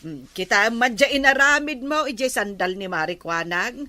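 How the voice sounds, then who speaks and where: A woman's voice: fairly high pitch, lively intonation, moderate pace, sharp tone. A woman playing an exasperated village woman in an Ilocano comedy drama, scolding emphatically and refusing to lend her things. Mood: firm refusal.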